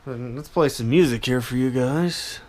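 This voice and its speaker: A man's voice: medium pitch, moderate pace, a little mellow. A young man hosting a casual hobby show-and-tell, rambling informally as he addresses his viewers. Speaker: hobbyist video host.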